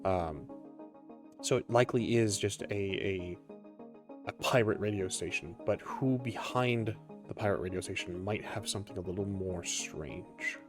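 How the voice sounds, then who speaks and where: Low-voiced man narrating a tabletop game. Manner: halting, deliberate, many pauses. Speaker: game master. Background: background music.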